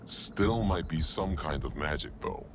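Man acting low-voiced wary companion. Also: expressive, unhurried, bright, forceful tone, a little hiss or hum, full character voice. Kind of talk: audio drama acting.

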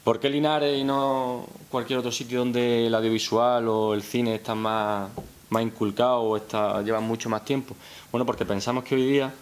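A man's voice: medium pitch, level tone, moderate pace. A man, presenting informally as a film director at a press conference, repeating words as he thinks. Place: press room at a town hall, seated at a table. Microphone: gooseneck table microphone.

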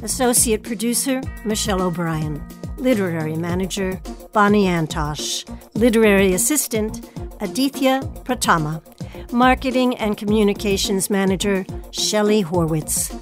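A woman's voice: mid-range voice, animated, normal speed, music in the background. A woman hosting, presenting from a script and interviewing guests. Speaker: host.

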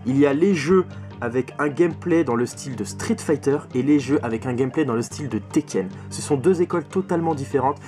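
A man's voice: mid-range voice, a little fast, warm tone, soft background music. A man giving a casual video game review, opinionated and emphatic, often repeating words and swearing. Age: 20s. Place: small bedroom at home, indoors.

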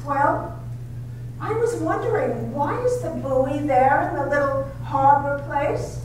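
A woman's voice: sits high, takes it slowly, a little mellow. A woman acting a timid young wife in a 1930s-style radio drama, hesitant and stammering, recorded echoey and distant. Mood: curious. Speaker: amateur radio drama actor and narrator. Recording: echoey, distant, faint hiss.

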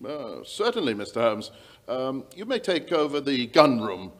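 A man's voice: medium pitch, sing-song, moderate pace, a bit bright. An older man playing a stern but flustered lord of the manor in a radio drama, hesitant and blustering. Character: flustered, stern aristocratic husband and master. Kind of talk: old-time radio drama acting. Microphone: stand microphone.

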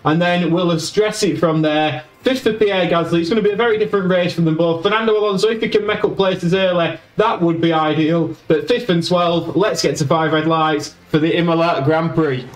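A man's voice: fairly high pitch, fairly even intonation, brisk, few pauses, reverberant, recorded from a distance, low-quality recording. A man with a Yorkshire accent, a gaming YouTuber giving chatty play-by-play of a racing management game. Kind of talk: game commentary.

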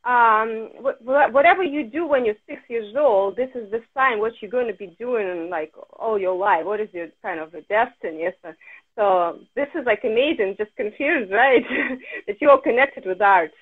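A woman's voice: on the high side, expressive, normal speed. A woman in her forties hosting an interview over a video call, enthusiastic and a little hesitant, with slightly non-native phrasing. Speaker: interviewer host. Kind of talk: casual interview chat. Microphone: headphones on video call. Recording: tinny phone-like call audio.

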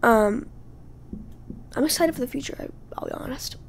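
Hoarse, whispery woman podcast host. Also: high voice. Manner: halting, breathy, often drops to a whisper. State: hoarse, lost voice. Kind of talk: casual solo podcast talk.

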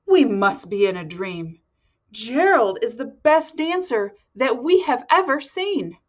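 A woman voicing excitable, opinionated jungle animals in a storybook, emphatic and exclamatory. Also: a little high, slow, highs cut off, full character voice. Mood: amazed.

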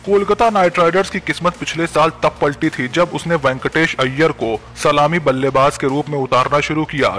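A man reading a scripted sports news voice-over, slowing down to announce each name and figure. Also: fairly high pitch, pushed and bright, a little hiss or hum.

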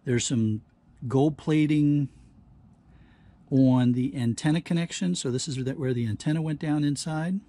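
A man giving casual hands-on demonstration commentary, halting and thinking aloud as he works at a workbench. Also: fairly high pitch, dark tone. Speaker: host of a scrapping how-to channel. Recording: clear background noise, handling clicks.

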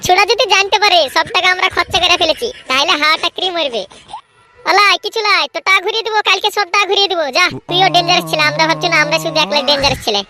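A woman's voice: extremely high pitch, racing, sharp tone. A woman voicing a sly, swaggering young troublemaker boy in a comedy cartoon, cocky and dismissive. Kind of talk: Bengali comedy cartoon voice acting.

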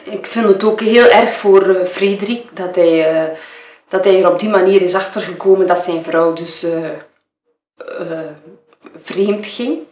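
A woman's voice: lowish voice, unhurried, darkish tone, natural voice. A woman with a Flemish accent playing a nervous, gossipy model who gives a rambling, hesitant witness statement in an audio murder game. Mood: uneasy, accusing. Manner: hesitant, rambling, self-interrupting. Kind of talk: improvised-sounding monologue for an audio murder game. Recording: roomy, echoing room.

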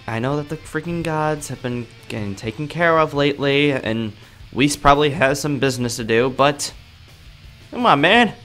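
Man playing a bright-voiced, exasperated young anime hero. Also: mid-range voice. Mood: grumbling, resigned. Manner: grumbling slangy monologue, talking to himself. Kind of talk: improvised video game roleplay.

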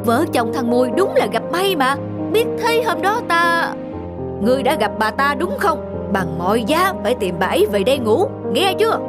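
A greedy, bossy, fat rich man from an animated folk tale. He schemes and exclaims in wildly swinging pitch, with music behind. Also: moderate pace. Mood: scheming, demanding.

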